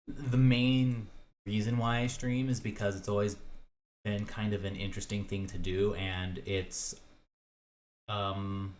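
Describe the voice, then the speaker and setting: A young man with a lowish voice talks alone into his mic for his podcast. He rambles casually, stopping and restarting as he thinks aloud and hunts for words. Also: level tone, a little slow, slight background noise. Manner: hesitant, thinking aloud with many fillers and restarts. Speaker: solo podcaster.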